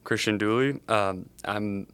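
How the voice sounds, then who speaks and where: A man's voice: fairly low pitch, unhurried, a bit bright, smooth, no room sound. A young man from the Chicago area, a startup co-founder, answering interview questions in a thoughtful, meandering way. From Chicago area, USA. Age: young adult.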